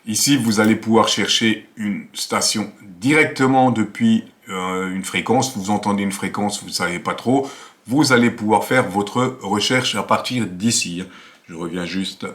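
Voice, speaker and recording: A man's voice: fairly low pitch, measured pace, fairly bright tone. A man, presenting a casual tutorial in a conversational, explanatory way with frequent tag words. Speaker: amateur radio video host. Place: small attic home office, indoors.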